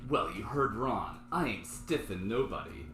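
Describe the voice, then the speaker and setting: A man's voice: fairly low pitch, expressive, a little slow, echoey room, music in the background. Man reading as a defensive small-time dealer.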